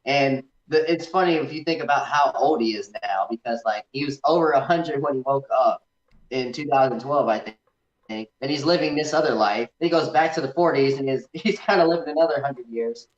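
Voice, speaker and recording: A man's voice: medium pitch, a little fast. Man chatting casually in an echoey room. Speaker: podcast host. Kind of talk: casual film review chat. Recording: echoey room, slightly dull sound.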